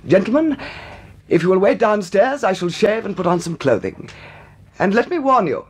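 A man playing a vain English gentleman dandy in a period radio drama, with a haughty, mannered and hesitant delivery. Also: on the high side, very animated, a little slow, full character voice. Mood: resigned hauteur. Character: vain, leisurely English gentleman dandy, indignant yet wistful.

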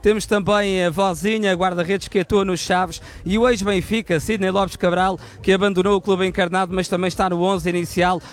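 A man reporting live from an outdoor fan gathering and chatting with the crowd on a handheld mic, lively and chatty. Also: high voice, brisk, few pauses. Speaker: field reporter.

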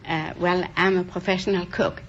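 A woman in her sixties with a Danish accent, answering earnestly and a little haltingly as a contestant on an old broadcast. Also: lowish voice, level tone, lo-fi sound. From Denmark. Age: 60s. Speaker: quiz show contestant. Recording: noisy old broadcast recording.

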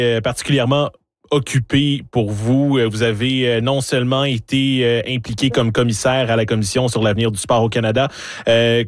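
A man's voice: medium pitch, no room sound, clean studio sound. A man interviewing a guest on the radio, asking long questions with fillers.

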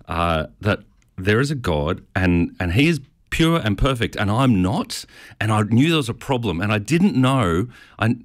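A middle-aged Australian man with a stutter, answering interview questions in an informal, self-deprecating, storytelling way. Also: lowish voice. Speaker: pastor.

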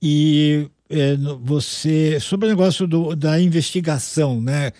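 A man's voice: fairly high pitch, steady tone, unhurried, mellow, no echo, clean studio sound. A male radio news anchor asking a correspondent short, thought-out questions.

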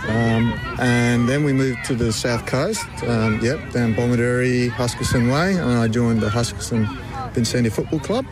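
A middle-aged man from Africa, a guest talking in a relaxed, hesitant way with many pauses. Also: mid-range voice, over music, crowd noise. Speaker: interview guest, amateur goalkeeper. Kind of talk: casual chat. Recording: loud outdoor street noise.

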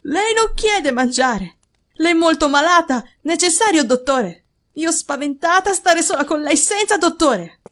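A woman's voice: very high voice, lively intonation, a little slow, fairly bright tone, voice pushed up, full character voice. A woman reader voicing a frightened, indignant young maid who pleads agitatedly in broken sentences in an audiobook story.

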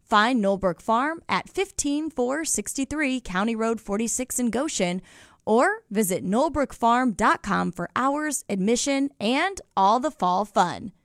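A woman's voice: a little high, animated, a little slow, no room sound, studio quality. A woman reading a scripted ad in a bubbly, inviting tone. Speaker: commercial voice-over. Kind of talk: upbeat scripted ad read.

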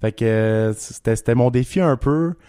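Male Québécois singer-songwriter, mid-pitched, informal stop-and-start delivery, dry studio-like sound. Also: mellow, smooth, no echo. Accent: Québécois French. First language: French. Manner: informal, halting, repeats words. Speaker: country singer-songwriter being interviewed. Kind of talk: casual interview chat.

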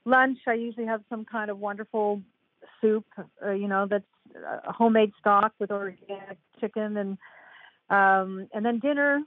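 A woman's voice: medium pitch, moderate pace, dry recording. An older woman, a podcast guest and author, chatting casually and rambling a bit with fillers. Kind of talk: casual interview chat. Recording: tinny phone-like line, dry.

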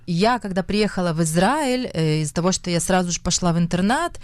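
A young woman from Russia with a Russian accent, an actress giving an interview, often pausing and searching for words. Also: fairly low pitch, lively intonation, dry recording. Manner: hesitant, searching for words, frequent fillers. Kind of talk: conversational interview.

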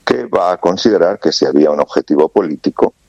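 A man's voice: low voice, animated, quick pace, creaky, a little mellow, no echo, dull audio. A man from Spain with a Spanish accent, speaking as a guest commentator in an earnest way, restarting phrases with fillers. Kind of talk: remote interview commentary.

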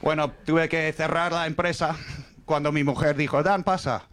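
A man's voice: a little high, fairly even intonation, normal speed, a bit bright, a little hiss or hum. Wry foreign man doing stand-up. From English-speaking country. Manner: wry, self-mocking storytelling with punchlines. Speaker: stand-up comedian. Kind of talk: stand-up comedy set. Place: comedy club stage with a laughing audience.

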